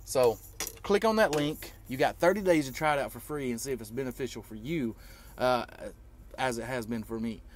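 A man's voice: mid-range voice, normal speed, a little hiss or hum. A man in his thirties talking informally to vlog viewers, explaining emphatically and repeating himself to drive points home. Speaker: trucker vlogger.

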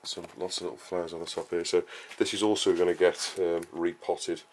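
A man giving a casual, explanatory gardening talk in a halting, unscripted way, as a vlogger would. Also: deep voice, little pitch movement. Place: outdoor vegetable garden and greenhouse. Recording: clearly noisy outdoor ambience, uneven distance.

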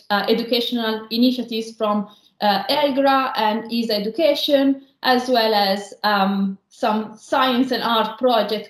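A woman from northern Italy with a strong Italian accent, giving an online lecture as a senior lecturer, explaining slowly with many pauses. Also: a little high. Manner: measured, explanatory, frequent um and uh pauses. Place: indoor room, remote video call. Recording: roomy echo.

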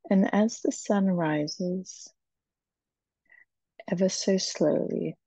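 Very slow, breathy woman guiding meditation. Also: mid-range voice, warm tone, no echo. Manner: soothing, short phrases with long pauses. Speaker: meditation guide. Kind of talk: guided meditation. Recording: dull, muffled, dry recording.

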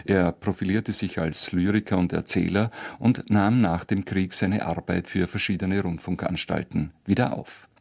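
A man's voice: sits low, steady tone, moderate pace, creaky, few pauses, a little mellow, husky. A man hosting a radio programme with scripted narration. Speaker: radio host.

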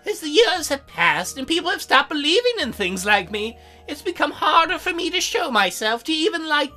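Woman acting a young female demon, high-pitched, animated, hesitant, earnest, game dialogue. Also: normal speed, soft background music, exaggerated voice. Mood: pleading. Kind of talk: visual novel character read aloud.

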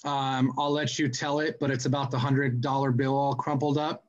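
A man's voice: medium pitch, flat delivery, moderate pace. A man in his thirties casually moderating on a video call with slightly dull, lo-fi sound. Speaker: co-host taking audience questions. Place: room at home, on a video call.

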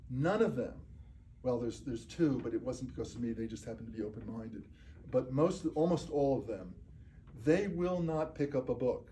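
A man's voice: mid-range voice, expressive, moderate pace, dark tone, a little hiss or hum. An older man speaking at a lectern without a microphone, answering audience questions in a blunt, emphatic way and often checking with "okay?". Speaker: speaker giving a talk. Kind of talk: lecture and audience Q&A. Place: community meeting room. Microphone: far camera, no microphone.

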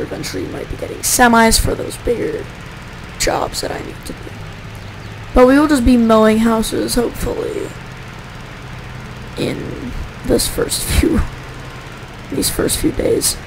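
A woman's voice: a little high, lively intonation, moderate pace, darkish tone, a little hiss or hum, poor audio. A woman giving casual, rambling commentary over gameplay, her voice a little sore, often correcting herself as she goes. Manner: rambling, self-correcting, chatty. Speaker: gaming YouTuber.

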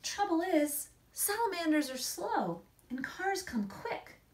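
A woman in her forties, an author reading and explaining things to children in an expressive, emphatic storytelling manner. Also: extremely high pitch, slow pace. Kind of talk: expressive picture-book read-aloud and explanation to children. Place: small indoor room against a plain wall.

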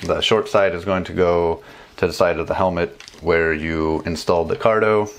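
A man's voice: low-pitched, fairly even intonation, normal speed. A man in his thirties casually demonstrating a gear install at his desk at home, chatty with fillers and restarts. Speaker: motorcycle travel vlogger. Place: small home room with bookshelves and desk.

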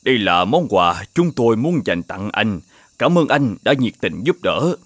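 A man voicing a mysterious old Taoist sage in an animated fairy tale, courteous and formal in manner. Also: lowish voice, measured pace, dry recording. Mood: solemn. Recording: music behind the voice.

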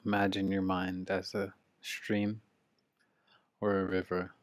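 A man with a very low voice guides a meditation from home over a video call. He speaks slowly and almost without pitch movement, leaving long pauses between short phrases. The sound is dry and close. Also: monotone, no echo. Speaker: meditation teacher. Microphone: video call mic.

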